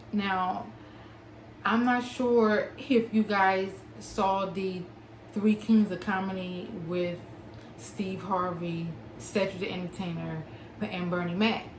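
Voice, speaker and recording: A young adult woman talking to her viewers, stuttering with long pauses and blocks and smacking her lips between words. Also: medium pitch, echoey room, a little hiss or hum, poor audio. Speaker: small business owner and author. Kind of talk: personal talk to camera.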